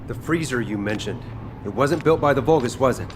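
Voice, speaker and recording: An actor playing a gruff, blunt but kindly cook and fighter in a video game. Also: male voice, mid-range voice, expressive, brisk, noisy background, lightly acted. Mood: irritated.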